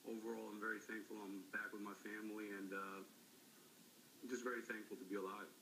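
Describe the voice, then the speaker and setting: A man in his fifties with a raspy voice answers questions on a live TV link. His voice sits lowish but comes across bright and forceful. He talks haltingly, filling gaps with "uh" and "um", over a light hiss. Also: little pitch movement, poor audio. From Florida, United States. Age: 50s. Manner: halting, many fillers. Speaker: interview guest. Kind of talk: live TV interview.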